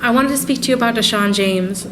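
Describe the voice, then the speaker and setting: A woman's voice: fairly high pitch, quick pace, noisy background, lightly acted. A woman acting a firm, exasperated but caring schoolteacher in a radio play. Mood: concerned, polite.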